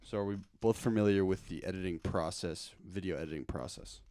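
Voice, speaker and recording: Low-voiced man hosting a casual interview. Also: a little fast, warm tone, no room sound. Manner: slangy, buddy-like. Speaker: interview host. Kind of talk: casual chat.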